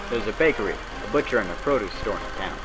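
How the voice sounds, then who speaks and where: A man reading scripted narration in the style of a 1940s military training film, brisk and instructive with folksy old-time phrasing. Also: lowish voice, lively intonation, loud music behind the voice, rough recording.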